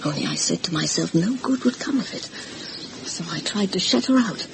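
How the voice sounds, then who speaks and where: A woman's voice: lowish voice, expressive, moderate pace, creaky. A woman playing an anxious, gossipy older housekeeper in a vintage radio horror drama, confiding and deferential as she tells her story dramatically. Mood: uneasy, confiding. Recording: noisy vintage radio recording.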